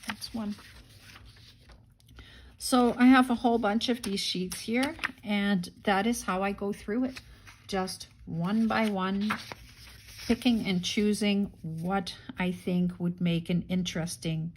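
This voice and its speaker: A woman's voice: mid-range voice, fairly even intonation, slow, a little hiss or hum, rough recording. A woman hosting a casual craft-along, unhurriedly thinking aloud and murmuring to herself as she works. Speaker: craft tutorial host.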